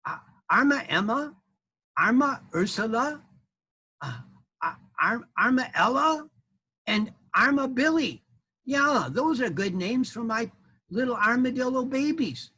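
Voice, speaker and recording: A man voicing a talkative, bossy mother armadillo in a storybook tale, with high, squeaky, excited chatter. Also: a little slow, long pauses, slightly rough, exaggerated voice.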